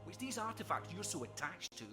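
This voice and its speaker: An actor playing an obsessive, smug scientist villain with a theatrical, grandiose manner in a video game cutscene. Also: male voice, high-pitched, big pitch swings, fairly quick. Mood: fascinated. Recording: music behind the voice.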